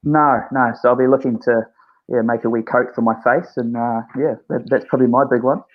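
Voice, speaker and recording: Easygoing New Zealand coach, flat voice. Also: male voice, mid-range voice, brisk, dull audio. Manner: easygoing, hesitant, many fillers. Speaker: head coach. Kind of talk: remote interview.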